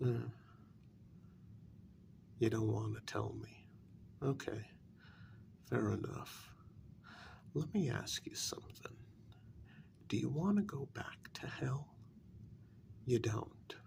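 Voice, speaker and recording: Man acting a gravelly, soft-spoken brooding kidnapper. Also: mid-range voice, lively intonation, heavily acted. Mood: quietly confident, probing. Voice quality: gravelly, breathy, drifting into whisper. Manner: slow, deliberate, quietly menacing, with long pauses. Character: brooding, bitter male kidnapper confronting a captive demon. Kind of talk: soft-spoken ASMR role-play.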